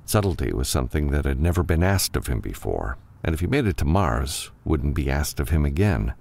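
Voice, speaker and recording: A man's voice: rumbling low, sing-song, brisk, creaky, a little mellow. A man reading scripted fiction as an audiobook narrator.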